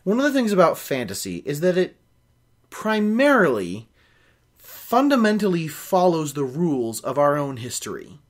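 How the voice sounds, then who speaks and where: A man's voice: a little high, big pitch swings, moderate pace. A young man hosting a reading show, reading a novel aloud and breaking off into opinionated, nitpicking commentary. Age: young adult. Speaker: host of a book-reading show. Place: small home office, indoors.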